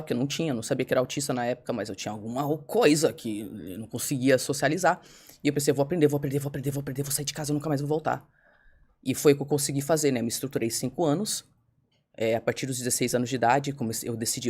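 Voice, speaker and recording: Woman, podcast guest. Quick, candid storyteller who acts out her own quotes. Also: very deep voice, slightly rough. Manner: fast, animated, acts out her own past words.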